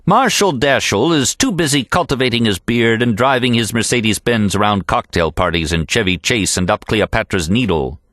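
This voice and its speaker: A man voicing a pompous, sharp-tongued male poet in an audiobook, declamatory, sneering and boastful. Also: on the low side, lively intonation, moderate pace, hardly any pauses, no room sound, studio quality. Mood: scornful. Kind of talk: audiobook character reading.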